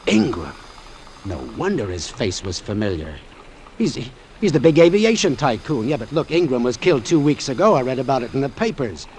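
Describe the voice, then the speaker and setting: A man's voice: mid-range voice, big pitch swings, brisk, a little mellow, a little hiss or hum. An American man acting a wary, wry doctor who narrates an old-time radio suspense drama in a terse, hard-boiled manner. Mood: curious, probing. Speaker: actor playing the narrator and lead. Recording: vintage radio drama with music underneath.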